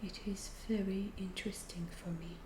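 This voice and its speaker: A woman playing a calm, curious female alien who studies humans, whispering slowly and deliberately in an ASMR roleplay. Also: medium pitch, reverberant, noisy background, lightly acted. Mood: curious, hushed. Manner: hushed, slow, deliberate, clinical phrasing.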